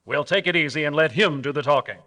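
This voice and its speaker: A man plays a resolute, fair-minded hero of a radio adventure serial. His voice is bright and forceful, set a little high, and he reasons firmly and steadily. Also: quick pace, in a large hall. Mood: calm, resolute. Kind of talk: old-time radio acting.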